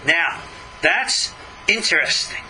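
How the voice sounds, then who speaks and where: Male religious teacher, mature, high pitch, very sharp bright tone; emphatic, skeptical text commentary over clear background noise. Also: animated, slow pace, poor audio. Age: middle-aged or older. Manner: emphatic, skeptical, argumentative, with rhetorical questions. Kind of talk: religious lesson, reading a text aloud with running commentary.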